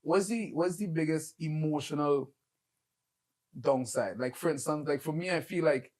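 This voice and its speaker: A man's voice: a little high, normal speed, a little mellow. A man hosting an interview, asking questions in a thoughtful, reflective way. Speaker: interview host. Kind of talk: casual interview chat.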